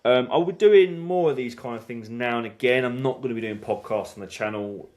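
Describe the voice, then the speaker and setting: A man's voice: mid-range voice, brisk, a little mellow. A man hosting a casual snooker podcast chat, stumbling and restarting often as he talks things through. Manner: hesitant, many restarts and fillers. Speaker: snooker channel host.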